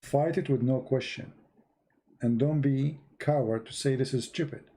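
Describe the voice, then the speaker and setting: A man's voice: medium pitch, moderate pace, warm tone. A man, an English speaker, giving a blunt, emphatic religious talk to an online audience, repeating himself for stress. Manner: blunt, emphatic, repeats words, non-native grammar. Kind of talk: live-streamed religious talk.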